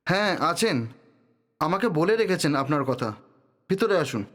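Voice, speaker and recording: Audio drama office helper, male actor: high voice, nervous, deferential, humble. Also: expressive, normal speed, a bit bright. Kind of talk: Bengali audio drama acting.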